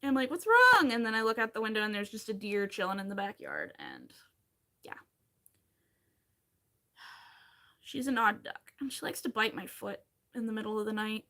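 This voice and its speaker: Bright-voiced chatty woman from Pennsylvania. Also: mid-range voice, wildly swooping pitch, moderate pace, long pauses. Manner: chatty, rambling, wry asides, answers chat comments. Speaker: crochet livestream host. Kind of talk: casual chat while crocheting on a livestream.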